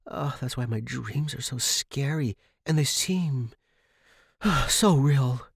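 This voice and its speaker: A man voicing a chatty, nervous young woman in a story, with an excitable, squeaky manner. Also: slow, vocal fry, slightly rough, dry recording, studio quality, heavily acted. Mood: uneasy.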